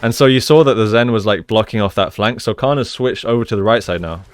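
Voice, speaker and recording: Clear, low-voiced man analysing gameplay. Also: fast talker. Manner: explanatory, conversational, often checks with "right?". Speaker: gaming coach, analyst. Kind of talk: video game gameplay analysis commentary.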